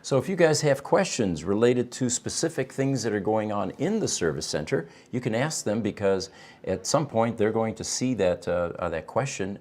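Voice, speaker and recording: Man, middle-aged, German native, low-ish voice, halting, studio morning show host. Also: a little mellow. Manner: halting, many ums and uhs. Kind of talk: casual morning show chat. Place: studio set in front of a backdrop.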